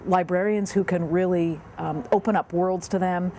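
Woman, 50s, earnest official, mellow lowish voice, faint outdoor noise. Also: fairly even intonation, normal speed. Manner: earnest, many fillers. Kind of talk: interview. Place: outdoors beside a bookmobile.

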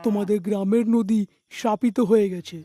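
A performer voicing a calm, all-knowing holy man from a cave in a cartoon, reassuring and explanatory. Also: mid-range voice, fairly quick, natural voice. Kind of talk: animated story dialogue.

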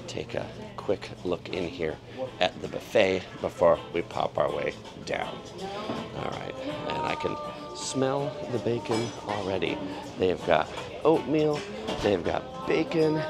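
A man in his forties giving a casual walking tour of a cruise ship, talking in a relaxed way with frequent fillers as he lists what he sees. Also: mid-range voice. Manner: relaxed, with frequent ums and listing items. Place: aboard a cruise ship, indoor and outdoor decks. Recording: clear music under the voice.

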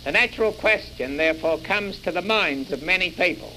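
A man's voice: sits high, fairly quick, bright, forceful tone, a little hiss or hum, rough recording. A man giving an impassioned rhetorical speech in an emphatic, oratorical way.

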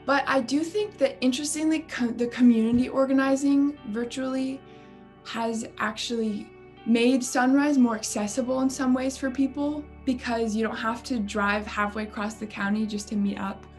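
A woman's voice: a little high, fairly even intonation, normal speed, faint music behind. Candid teenage girl activist. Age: teens. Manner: casual, candid, filler "like". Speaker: high school climate activist. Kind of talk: documentary interview.

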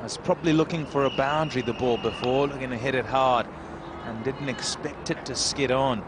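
A male cricket commentator calling a match live, getting loud and excited when a wicket falls. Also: mid-range voice, moderate pace. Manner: excitable, rising to shouts at wickets. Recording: noisy crowd behind, outdoor broadcast. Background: cheering crowd and ground noise.

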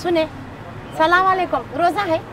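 High-voiced brash Hyderabadi woman. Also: fairly even intonation, moderate pace, a loud crowd. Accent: Hyderabadi Hindi-Urdu. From Hyderabad, India. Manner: brash, teasing, chatty. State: sore throat. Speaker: comedy vlogger. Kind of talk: street prank vlog chat. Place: busy city roadside stall, outdoors. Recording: street noise behind.

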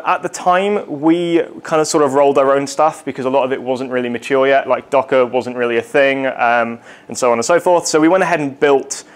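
Young guy presenting at a meetup, bit higher voice, chatty with lots of ums. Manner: casual, rambling, lots of fillers and asides. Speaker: conference speaker. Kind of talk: technical meetup talk. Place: meetup room in front of a projected slide.

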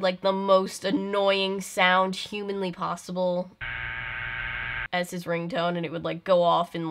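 Sarcastic young woman with a lowish voice. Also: fairly bright tone. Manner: mock exasperation, sarcastic asides. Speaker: video host reacting to an old film. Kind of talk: casual comedic reaction commentary.

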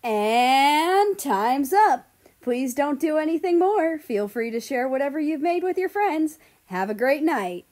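Casting: woman playing brisk show host in a story reading, loud, projected, raised pitch. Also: animated. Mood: bright, announcing.

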